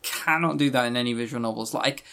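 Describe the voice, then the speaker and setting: A man's voice: mid-range voice, fast, gravelly, sharp tone. Man chatting casually on a podcast. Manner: rambling, opinionated, swears casually. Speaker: podcast co-host. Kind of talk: casual chat, game review.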